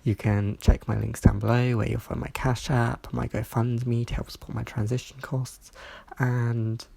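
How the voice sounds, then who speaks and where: A reviewer reading a scripted review in a measured way, sounding stuffy from hay fever. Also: mid-range voice, little pitch movement, gravelly, dark tone, breathy edge, dry recording. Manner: measured, reads from a script with small slips. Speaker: video reviewer.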